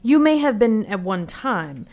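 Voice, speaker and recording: High-voiced, grave, knowing nun. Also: female voice, big pitch swings, unhurried, a little mellow. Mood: dry, accusing. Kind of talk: audio drama acting.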